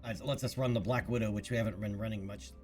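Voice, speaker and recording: A man's voice: fairly low pitch, fairly even intonation, rapid-fire, darkish tone, soft background music. A man streaming a game, thinking aloud in casual chat while congested from a recent cold. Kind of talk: casual gameplay commentary.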